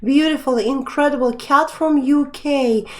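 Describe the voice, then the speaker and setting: A woman's voice: high-pitched, unhurried, slight background noise. A young woman hosting a live stream quiz, chatting casually as she reads out questions and viewer names. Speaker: live stream trivia host.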